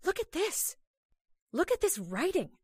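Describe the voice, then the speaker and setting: A woman's voice: very high-pitched, huge pitch swings, a little slow, a little mellow, dry recording, clean studio sound, exaggerated voice. A woman playing a curious, jumpy wife in an old-time radio horror drama, urgent and exclamatory. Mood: curious, insistent. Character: jumpy, curious, insistent wife in a haunted house.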